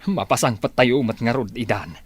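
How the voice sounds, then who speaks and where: A man playing a concerned, calming family man in a radio drama, husky, with an Ilocano accent. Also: medium pitch, brisk, no echo.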